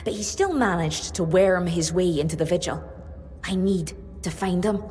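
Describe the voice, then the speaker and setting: An actress playing a solemn, devoted tribeswoman in a fantasy video game, speaking gravely and earnestly with longing. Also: lowish voice, expressive, darkish tone, natural voice. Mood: grim, determined. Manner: grave, earnest, measured delivery. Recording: long reverb with music behind.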